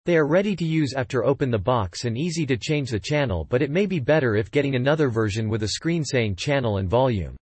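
A man reading customer product reviews aloud in an even, unhurried voice. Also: mid-range voice, breathy edge, no echo.

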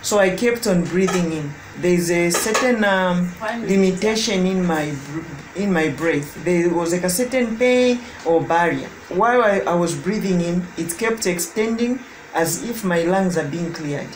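A young woman from Zambia tells of her healing in a low voice, pausing and searching for words. The sound is roomy, with clear background noise behind her. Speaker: prayer testimony giver. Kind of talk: personal testimony. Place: home living room. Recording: echoey room with background noise.

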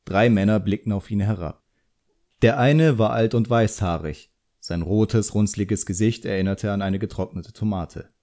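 A man's voice: on the low side, moderate pace, dark tone. A man reading a fantasy novel as scripted audiobook narration.

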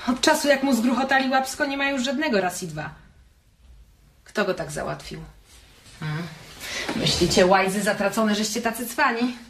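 An actress playing a bored, lonely wife in a radio drama, brash and mocking, with a provocative edge. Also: female voice, medium pitch, a bit bright. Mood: mocking contempt. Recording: roomy, noisy radio play recording.

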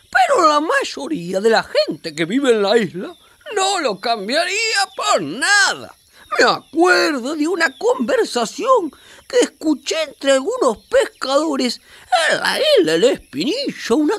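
An Argentine river guide for a children's radio play. The voice is high and swoops widely, with an unhurried, expressive, explanatory delivery. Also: female voice, exaggerated voice. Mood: gentle, reflective. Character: animated female island guide telling a child about the river.

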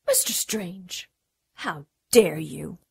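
A woman's voice: lowish voice, expressive, drawn-out words, creaky, slightly rough, no room sound, exaggerated voice. A woman playing an imperious, seductive demon in a radio drama, haughty and menacing. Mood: haughty, threatening.

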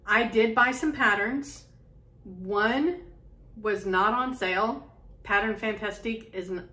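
A woman's voice: mid-range voice, pushed and bright. A middle-aged woman in her home sewing room chatting to camera, rambling with many ums and restarts. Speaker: sewing vlogger. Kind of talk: casual chat to camera. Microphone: camera in front, medium close framing.

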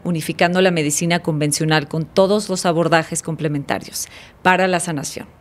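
A woman hosts a podcast in a dark studio. Her voice sits fairly low, and she speaks close to a boom-arm studio mic. She moves from a read introduction into warm, effusive chat. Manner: warm, effusive, reads from paper. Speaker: podcast host. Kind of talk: scripted intro then casual interview. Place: dark studio set, indoors.

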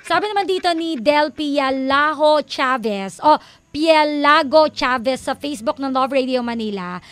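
A playful woman radio host bantering in a studio. Her voice sits high, and she jokes freely as she reads listeners' messages aloud. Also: lively intonation, unhurried, a bit bright, dry recording. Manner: playful, joking, code-switching.

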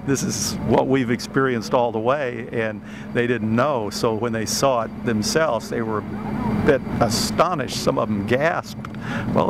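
Older man, wry chuckles, street interview, traffic behind. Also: medium pitch. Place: outdoors on a city street.

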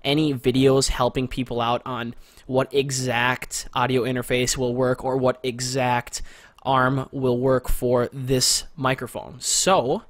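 A young man talks casually into a close condenser mic, rambling a little and repeating words for emphasis. His voice sits at an ordinary pitch, masculine without being deep. The sound is dry and close, recorded in a small bedroom. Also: fairly bright tone, dry recording. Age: young adult. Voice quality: not very deep but masculine voice. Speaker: gear reviewer. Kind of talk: casual product walkthrough. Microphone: studio condenser mic with pop filter, close.

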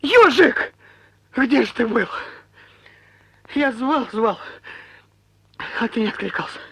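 A woman playing a fussy, caring young male bear cub in an animated film, husky and rambling, stumbling over words. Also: on the high side, takes it slowly, dry recording, heavily acted. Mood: anxious reproach. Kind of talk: Soviet animated film character acting.

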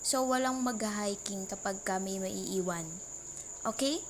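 A woman's voice: medium pitch, slow pace. A woman playing a mildly jealous, playful young girlfriend with a Filipino accent in an intimate, teasing roleplay audio. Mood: possessive, affectionate. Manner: teasing, mock-threatening, sarcastic, switching between Tagalog and English. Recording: noisy track with cricket and insect sounds.

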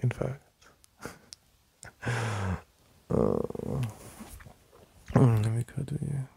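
A man with an English accent playing an affectionate, teasing boyfriend in an intimate ASMR roleplay, murmuring in a deep, breathy voice. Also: warm tone, dry recording, exaggerated voice. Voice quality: deep, breathy, husky, gravelly. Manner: slow, murmuring, with sighs and lingering pauses.

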